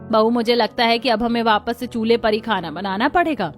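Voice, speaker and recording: A woman's voice: fairly high pitch, a little fast, faint music behind, lightly acted. A woman voicing village women of a poor household in a cartoon story. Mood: worried. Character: village women of a poor family, daughters-in-law and mother-in-law.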